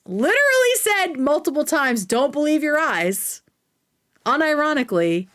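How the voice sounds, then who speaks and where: A woman's voice: sits high, very animated, moderate pace, fairly bright tone. A woman hosting a livestream with casual, opinionated commentary, speaking bluntly and swearing freely. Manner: blunt, profane. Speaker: streamer, host.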